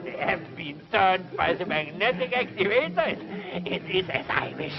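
A gloating, theatrical tyrant from a 1950s sci-fi serial. His high voice crackles with gravel as he imperiously savours cruelty. The old soundtrack is dull, with music behind him. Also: lively intonation, normal speed, over a noisy crowd. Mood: gloating triumph. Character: cruel gloating underworld tyrant king, relishing suffering. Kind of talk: 1950s television serial villain acting.

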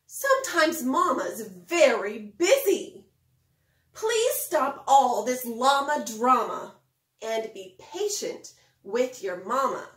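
A middle-aged woman giving a lesson and storybook read-aloud for young children, speaking in simple, expressive phrases. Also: high voice, slow pace. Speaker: preschool Bible teacher. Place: home kitchen, indoors. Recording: roomy kitchen echo.

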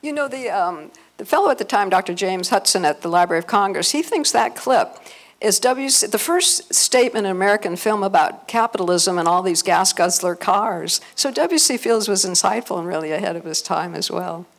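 A woman's voice: mid-range voice, animated, fairly quick. An older woman speaking informally on a panel as she leads audience questions, holding a microphone. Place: hotel conference room with an audience. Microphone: handheld microphone.

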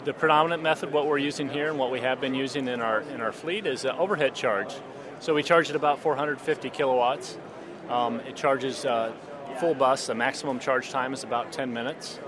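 An older man explaining a charging system plainly and haltingly in a trade show interview. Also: medium pitch, fairly even intonation. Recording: crowd chatter behind.

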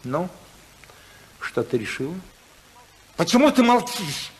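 An actor playing an imperious, stern older tycoon father in a 1950s radio play, commanding and theatrical, with deliberate pauses. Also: a little high, big pitch swings, poor audio. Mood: menacing. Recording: 1950s radio drama recording.

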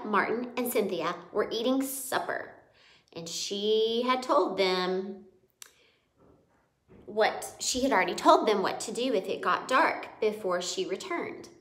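A woman reads a children's story aloud in an expressive way. Her pitch is medium and her pace is slow, with careful breaks between phrases. The room around her voice is noticeably echoey. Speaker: teacher reading a children's book aloud. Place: indoors in a roomy home hall, seated.

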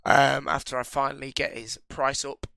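A man's voice: mid-range voice, completely flat delivery, normal speed, vocal fry, pushed and bright, dry recording, studio quality. A young man with a cold giving rambling, casual commentary over a football game, full of ums and restarts. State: recovering from a cold. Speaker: gaming channel host.

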